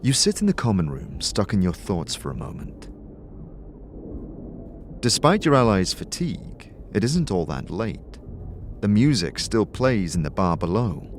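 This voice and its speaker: A man narrating a scripted second-person fantasy story as game master, in a measured, dramatic storytelling manner. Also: low voice, big pitch swings, a little hiss or hum. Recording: soft music behind the voice.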